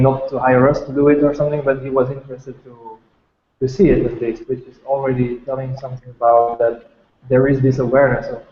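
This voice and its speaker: A man of medium pitch talks casually in a group discussion, circling back and rephrasing as he goes. The call is dull and echoey, with a little noise behind him. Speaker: member of an art and design collective.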